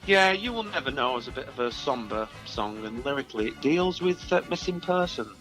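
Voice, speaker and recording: A man's voice: mid-range voice, expressive, fairly quick, music in the background, poor audio. A man chatting casually as a musician guest in an interview, talking in a hesitant, rambling, understated way. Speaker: musician guest discussing his own album.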